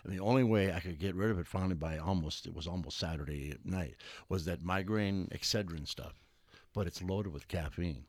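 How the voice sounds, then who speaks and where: A man's voice: sits low, a little fast, no room sound. A man hosting a casual radio show, joking, laughing easily and asking his guests questions. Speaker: radio host.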